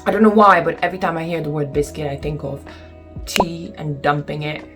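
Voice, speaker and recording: A woman's voice: low-pitched, brisk, soft background music. A young woman from Lithuania with a Lithuanian accent, chatting casually to camera in a home vlog, with lots of ums and exasperated asides. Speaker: vlogger. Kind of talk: casual talking-head vlog.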